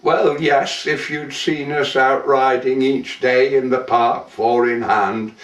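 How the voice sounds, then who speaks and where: Man reciting as a wistful young heiress, slow, echoey. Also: mid-range voice, steady tone, lightly acted. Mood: proud, playful. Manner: theatrical, measured, with lingering pauses. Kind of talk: dramatic poetry recitation. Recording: roomy echo, distant microphone.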